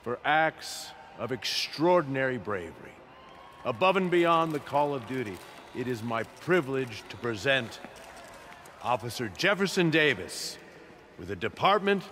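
Game role: confident, blunt American mayor, middle-aged, mid-pitched, light city noise. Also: male voice, very animated, unhurried, a bit bright. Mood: ceremonial. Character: brash, self-assured middle-aged male city mayor.

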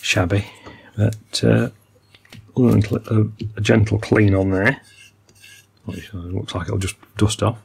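A man's voice: on the low side, flat delivery, unhurried, vocal fry, slightly rough. An older man musing through a hands-on repair of vintage gear at his workbench, talking technically with many ums and uhs. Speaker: electronics repair hobbyist. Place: workbench indoors.

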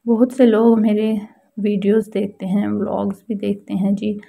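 A woman's voice: mid-range voice, level tone, normal speed, vocal fry, dark tone. A woman with a Pakistani accent giving a casual how-to voice-over as a home vlogger, explaining things politely and repetitively.

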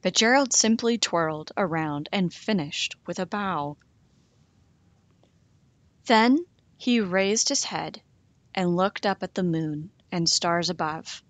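Slow expressive woman reading aloud. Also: lowish voice, dry recording. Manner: slow, expressive, sing-song rhyming delivery. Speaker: storytime reader. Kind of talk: reading a picture book aloud.

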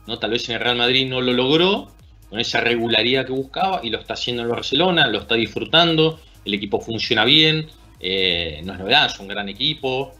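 Argentine man, 30s, bright voice, pundit on a video call, musing and checking in with tag questions. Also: medium pitch, expressive, brisk, faint music behind. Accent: Argentine Spanish. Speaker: basketball analyst. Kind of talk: casual sports discussion. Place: small room at home.

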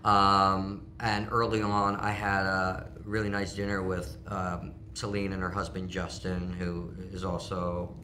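A man actor answering interview questions, halting and repeating words as he starts his sentences. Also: low-pitched, completely flat delivery, bright, forceful tone, slight background noise. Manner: stumbling starts, self-deprecating, laughing. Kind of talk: press junket interview. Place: studio set.

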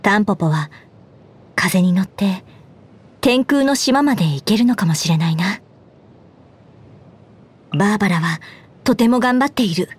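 A woman voicing an earnest, dutiful young knight commander in a Japanese game, speaking in a formal, measured way. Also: mid-range voice, expressive, breathy edge. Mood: composed, thoughtful. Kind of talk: Japanese game character voice lines. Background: background music.

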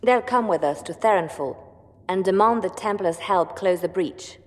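An actress playing a shrewd, composed spymaster advisor in a video game, speaking in a measured, firm and persuasive way. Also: female voice, medium pitch, long reverb tail, lightly acted. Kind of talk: dramatic video game dialogue.

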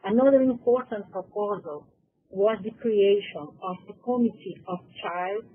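A woman's voice: mid-range voice, normal speed, slight background noise. A woman from Brazil with a Portuguese accent, carefully reading a prepared conference presentation in English with occasional self-corrections. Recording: tinny phone-like conference audio.

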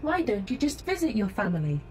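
A woman's voice: medium pitch, big pitch swings, brisk, a little mellow, soft background music, close to an everyday voice. A woman voicing a polite, literal-minded holographic AI assistant in an audio drama, formal and precise. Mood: polite, curious.

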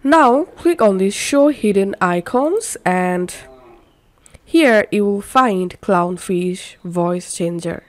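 A woman narrating a software tutorial, giving step-by-step instructions. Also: medium pitch, expressive, slow, no room sound.

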